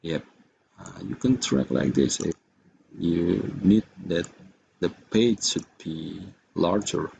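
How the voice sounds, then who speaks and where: A man with an Indonesian accent giving an unscripted software tutorial in a halting, hesitant manner. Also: low-pitched, lively intonation, vocal fry, a little hiss or hum, rough recording. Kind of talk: unscripted screen-recorded tutorial.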